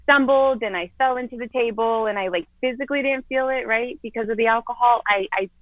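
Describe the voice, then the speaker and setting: A woman's voice: fairly high pitch, brisk, dry recording. Candid woman on a lo-fi call. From Florida. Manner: candid, earnest. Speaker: guest. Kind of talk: personal story in an interview. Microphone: video call. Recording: dull lo-fi video call.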